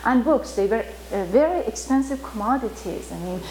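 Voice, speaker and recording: Woman from Iran, Turkish first language, informal teller, slightly high pitch, hesitant delivery, faint noise. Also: expressive, darkish tone, low-quality recording. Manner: halting, many fillers, vivid retelling with acted quotes. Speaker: storyteller teaching about Rumi. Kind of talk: informal talk.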